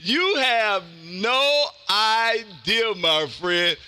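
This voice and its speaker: An American man hosting a live amateur radio stream, loud and exuberant, repeating himself for hype as he chats and calls stations. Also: high-pitched, lively intonation, very slow, clean tone. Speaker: amateur radio livestream host.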